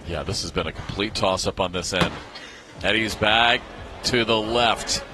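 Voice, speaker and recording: Excitable male play-by-play sports commentator. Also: fairly low pitch, a little slow, over music. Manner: excitable, building with the action. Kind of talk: live TV commentary on a cornhole championship.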